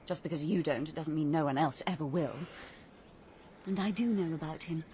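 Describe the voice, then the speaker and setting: An actress playing a defiant, wounded young daughter in love, stubborn and argumentative, in a radio drama. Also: female voice, low-pitched, fairly quick, mellow, slight background noise, close to an everyday voice. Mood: defiant, hurt. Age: young adult.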